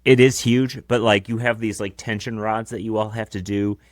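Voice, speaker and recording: Deep-voiced man, very low and dry. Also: fairly even intonation, moderate pace, no echo. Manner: chatty, explaining his gear with casual asides. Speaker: podcast co-host. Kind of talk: casual chat. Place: small home studio, indoors. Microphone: close dynamic broadcast mic.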